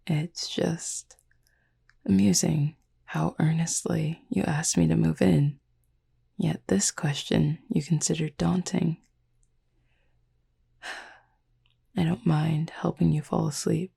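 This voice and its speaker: A woman performs a calm cupid demon roommate in a soft roleplay audio meant for sleep. The voice is low, very husky and breathy, and stays quite even. The speech is formal and measured, with a gentle teasing streak. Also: heavily acted. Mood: amused, caring.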